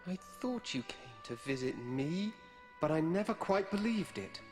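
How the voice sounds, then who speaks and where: A naive teen weaver boy, game acting, slow n earnest, pitch goes up and down a lot. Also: very animated, a little mellow, soft background music. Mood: wondering. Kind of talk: scripted video game voice acting.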